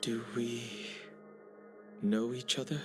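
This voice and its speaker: A man's voice: medium pitch, fairly even intonation, takes it slowly, close to an everyday voice. A man playing a reserved, guarded young man in a video game, speaking in a cold, indifferent, terse way. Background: game music.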